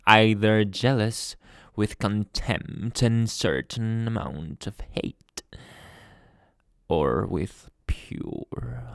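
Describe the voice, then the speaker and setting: Man acting a brooding, gravelly possessive boyfriend. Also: fairly low pitch, no echo, studio quality, heavily acted. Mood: brooding, jealous. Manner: slow dramatic pauses, dropping into whispers. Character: possessive jealous young boyfriend, obsessive, brooding, dominant. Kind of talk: ASMR boyfriend roleplay.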